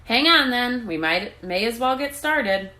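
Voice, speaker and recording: A little female bird from a storybook, played by a woman, loyal and practical. She pipes up higher than the narration, lively in pitch, with a brisk and reassuring manner.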